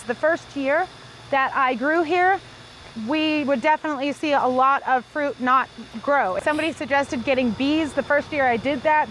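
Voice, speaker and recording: A woman's voice: high voice, fairly bright tone, slight background noise, rough recording. A woman from New Zealand who hosts a farm channel, reading viewer comments in an upbeat, chatty way. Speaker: farm owner and channel host.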